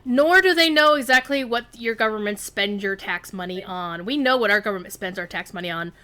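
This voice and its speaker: A woman's voice: medium pitch, fairly quick, sharp tone. An American woman hosting a livestream of an online game, chatting casually with viewers and fellow players. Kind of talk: casual live gaming chat.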